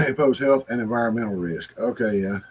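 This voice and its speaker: A man's voice: mid-range voice, fairly quick, fairly bright tone, slightly rough. A man co-hosting a casual livestream, talking in a laid-back, folksy way with short interjections. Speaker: livestream co-host. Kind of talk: casual chat.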